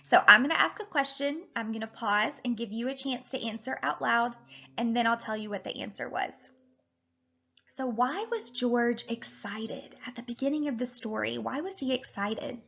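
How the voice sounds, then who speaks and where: A woman with a high voice speaks as a teacher to young children. Her delivery is animated and deliberate, as in a picture-book read-aloud. The sound is slightly dull, like a webcam recording indoors. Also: gravelly.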